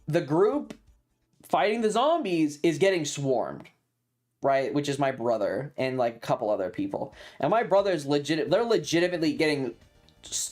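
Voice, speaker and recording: A mid-pitched streamer recounts a tabletop adventure to a live chat, with music playing behind. The delivery is casual and sweary, leaning hard on key words and repeating them for dramatic effect. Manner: emphatic, repeats phrases for drama. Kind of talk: animated storytelling to a livestream chat about a tabletop game.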